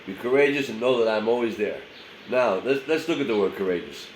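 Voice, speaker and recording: A man's voice: on the low side, lively intonation, a little fast, echoey room, a little hiss or hum, rough recording. A male pastor giving a short, casual daily sermon, sounding a little nasal from a head cold and talking conversationally with many fillers.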